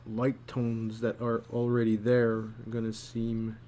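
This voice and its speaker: A man thinking aloud casually as he gives an art demonstration, murmuring to himself in an unhurried way as he works. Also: mid-range voice, completely flat delivery, mellow, a little hiss or hum. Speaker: storyboard artist giving a drawing tutorial.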